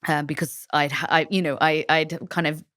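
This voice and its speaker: A woman from Shropshire answering questions as a designer guest on a podcast. She talks reflectively, pausing often with fillers and restarting her sentences. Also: sits low, steady tone, a bit bright, no echo, clean studio sound. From England, Shropshire.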